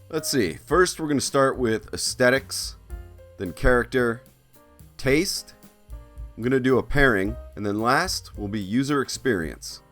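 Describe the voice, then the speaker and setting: A man's voice: medium pitch, fairly bright tone. A man narrating a scripted art vlog as host, with casual asides and a theatrical, playful, self-interrupting manner.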